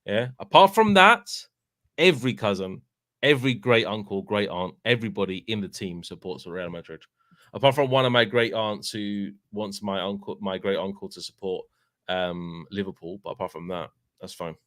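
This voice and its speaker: A man in his thirties with a London accent, chatting casually as a fan channel host, telling animated stories with lots of filler tags. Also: lowish voice, normal speed, no room sound, clean studio sound. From England. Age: 30s. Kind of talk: casual chat.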